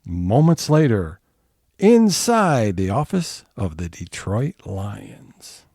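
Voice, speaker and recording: Man as a slow, swooping narrator. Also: fairly low pitch, sing-song, dark tone, exaggerated voice. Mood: dramatic. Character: dramatic scene-setting narrator. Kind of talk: scripted comedic narration.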